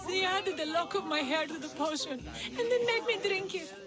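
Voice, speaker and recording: A woman's voice: extremely high pitch, lively intonation, normal speed. A woman playing a naive, desperate, lovesick young woman in a video game, pleading and melodramatic. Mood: distraught, accusing. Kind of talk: video game cutscene acting.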